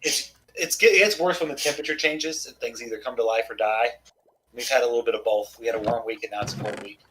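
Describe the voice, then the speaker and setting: A man in casual chat while gaming online, his voice a bit hoarse, who often clears his throat and rambles in a self-deprecating way. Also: mid-range voice, quick pace, fairly bright tone, a little hiss or hum, low-quality recording. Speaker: gamer.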